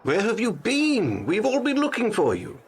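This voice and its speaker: A man's voice: high-pitched, huge pitch swings, moderate pace, noisy background. A man acting in a radio play as an eager, persuasive campaign manager with an upbeat, coaxing manner.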